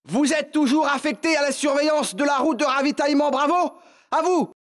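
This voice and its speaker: A man playing an impatient, forceful soldier in a video game, speaking in clipped military radio calls. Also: very high-pitched, fairly quick.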